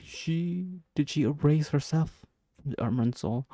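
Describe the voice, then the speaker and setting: A man's voice: on the high side, big pitch swings, slow, mellow, dry recording, clean studio sound. A man doing casual gameplay commentary, murmuring exclamations and repeating his words as he reacts. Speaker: gameplay streamer.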